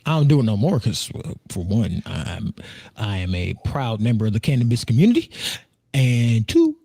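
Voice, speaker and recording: A man's voice: mid-range voice, very animated, normal speed, creaky, dark tone, breathy edge. An American man in his thirties chatting casually as a co-host, joking, repeating himself and swearing freely. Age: 30s. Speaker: podcast co-host and streamer. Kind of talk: casual group chat.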